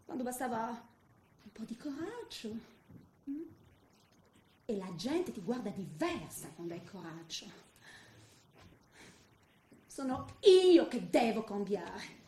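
A woman's voice: a little high, very animated, slow, long pauses, warm tone, reverberant, a little hiss or hum. An actress playing a self-reproaching middle-aged woman in a dramatic theatrical monologue, swinging between subdued talk and outburst. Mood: subdued, regretful. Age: 40s.

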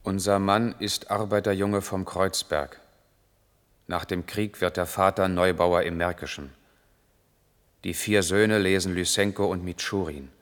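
An actor playing a brooding, torn intellectual lover in a measured, serious German radio play style. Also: male voice, low voice, steady tone. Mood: sober, measured. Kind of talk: 1980s German radio play acting, measured narration and intimate dialogue. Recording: long reverb, music in the mix.

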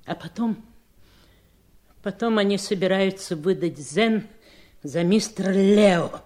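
A woman's voice: mid-range voice, slow pace, a little mellow, lightly acted. A woman acting a devoted servant in a 1970s Soviet radio drama, deferential but bossy and fussing. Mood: troubled, confiding. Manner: deferential yet fussing and scolding.

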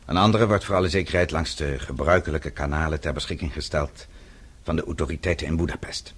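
An actor playing a vengeful middle-aged father in a radio play, speaking in a measured, deliberate and formal way. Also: very deep voice, steady tone, breathy edge. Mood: cold menace. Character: vengeful father, composed, coldly methodical older man.

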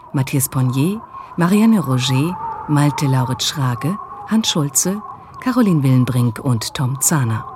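Announcer brief: woman, deep register, formal and unhurried credit reading, clear background noise. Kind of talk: formal scripted radio announcement.

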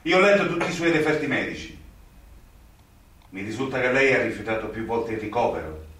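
An actor playing a stern, indignant young magistrate in a radio play, with an emphatic, commanding delivery. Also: male voice, mid-range voice, expressive, brisk, a bit bright, natural voice. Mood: questioning. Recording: very echoey, distant, faintly noisy recording.

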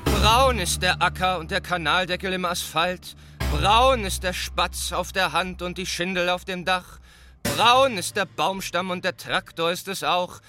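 Casting: solemn village reciter for radio drama, very high male register, incantatory verse. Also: a little slow, sharp tone. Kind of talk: scripted radio play recitation, list-like verse.

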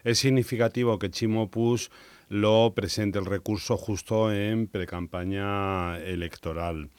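A man's voice: on the low side, steady tone, measured pace, darkish tone, smooth, no echo. A man commenting in a radio debate, opinionated and often correcting himself mid-sentence. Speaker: panelist.

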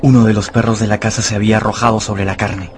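A man's voice: fairly low pitch, little pitch movement, quick pace, heavy background noise, natural voice. A man narrating a radio drama as a dramatic storyteller reading scripted prose.

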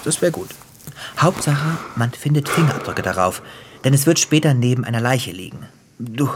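A man acting in a German radio play as a cruel, gleeful crime boss, theatrical and taunting, with a husky voice that drops into whispers. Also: mid-range voice, noisy background, lightly acted. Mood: sly, commanding. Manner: theatrical, taunting, savoring storyteller.

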